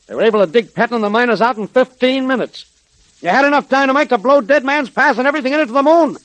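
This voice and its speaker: A man's voice: extremely high pitch, quick pace, a bit bright, dry recording, rough recording. An actor playing a bullying, blustering gang boss with slangy tough-guy talk in a 1930s radio drama. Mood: angry, scolding. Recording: vintage 1930s radio recording.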